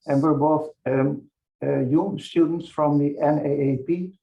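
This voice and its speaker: A man's voice: fairly high pitch, steady tone, a little slow, gravelly, highs cut off. A man introducing himself as a student in an online seminar, hesitant with fillers. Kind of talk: self-introduction on a call.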